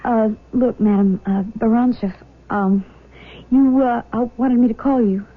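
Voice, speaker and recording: An actress playing an anxious, stubborn young adopted daughter in a radio drama, with an American accent and a hesitant, nervous manner. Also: female voice, on the high side, steady tone, measured pace, close to an everyday voice. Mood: hesitant, wary. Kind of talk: 1970s radio drama acting. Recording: thin, phone-like, noisy vintage radio recording.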